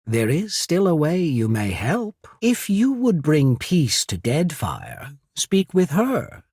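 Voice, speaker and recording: An actor playing a shrewd, courteous naval emissary in a fantasy video game, speaking in a measured, formal, persuasive way. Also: male voice, fairly high pitch, huge pitch swings, a little mellow, breathy edge, dry recording, clean studio sound, heavily acted. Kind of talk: scripted fantasy game dialogue.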